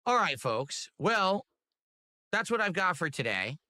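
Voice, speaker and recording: Brief: man with a fairly high, bright voice, swearing defensive rant, dry sound, clip from a live stream. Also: very animated, a little slow, dry recording. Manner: defensive, angry and profane rant. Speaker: gaming streamer. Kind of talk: ranting to stream viewers. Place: home office.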